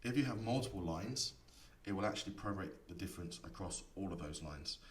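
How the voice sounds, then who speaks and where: A man giving a software tutorial, explaining each setting step by step in an instructor's manner. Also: sits low, lively intonation, brisk. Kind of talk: screen-recorded tutorial explanation. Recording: echoey room, distant mic, faint noise.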